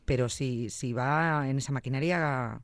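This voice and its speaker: A woman from Zamora, Spain, hosting a podcast interview in an expressive, exclamatory way with wide swings in pitch. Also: a little slow, no echo, clean studio sound. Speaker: podcast host. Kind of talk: casual interview.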